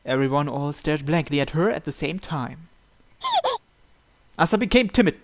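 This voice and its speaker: A man's voice: on the high side, big pitch swings, unhurried, noisy background. A man hosting a let's play, reading story narration aloud and breaking off for casual asides on the text. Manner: stumbles and breaks off to comment on the text.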